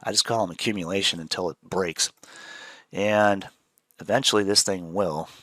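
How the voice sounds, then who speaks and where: A man with a head cold, sniffling a little as he walks through stock charts in a relaxed, rambling way with plenty of fillers. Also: low-pitched, normal speed, no echo. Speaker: show host, trader. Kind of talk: casual chart analysis chat.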